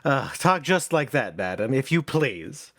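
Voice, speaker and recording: A man's voice: mid-range voice, sing-song, a little slow, a bit bright, no room sound. Man playing fussy, exasperated theatre director in stage drama: pompous, swooping intonation, dry studio sound. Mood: delighted. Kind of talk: stage play acting.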